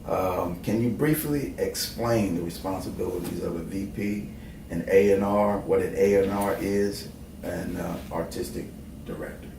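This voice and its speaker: A man from Bermuda, raised in New Jersey, hosting an interview in a formal, halting way with many pauses. He is recorded from a distant mic in an echoey room with faint noise. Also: sits low. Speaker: host.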